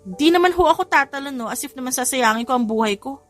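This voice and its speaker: A woman's voice: high voice, lively intonation, fast, soft background music, pitched above the performer's own voice. A woman voicing a feisty, wounded young bride in an audio story, indignant and sassy.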